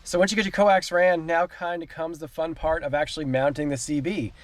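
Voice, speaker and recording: A man's voice: fairly high pitch, steady tone, fairly quick. A man hosting a car DIY video, talking viewers through an installation in a casual, conversational, explanatory way. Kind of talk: casual how-to walkthrough.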